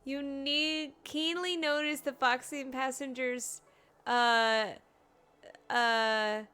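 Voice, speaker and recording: A woman's voice: sits high, steady tone, drawn-out words, pushed and bright, clear, resonant tone. A woman live-streaming a game, reacting aloud with nervous laughter and dramatic dread. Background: game music.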